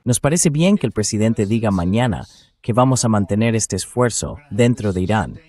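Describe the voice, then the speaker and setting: A man with a fairly low voice hosts a political talk show. He comments in an emphatic, sceptical manner and leans on pointed rhetorical questions. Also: animated, normal speed, darkish tone.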